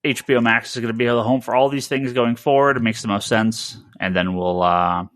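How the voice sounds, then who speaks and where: A man's voice: mid-range voice, fairly quick, a bit bright, no room sound, studio quality. A man hosting a casual, informal discussion of comics news. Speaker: podcast host.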